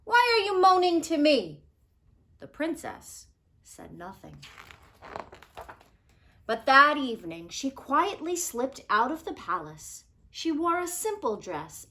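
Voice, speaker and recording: A woman reads a children's story aloud with expressive, dramatic phrasing. Her voice is bright and fairly high, and she takes her time over the words. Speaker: storybook reader.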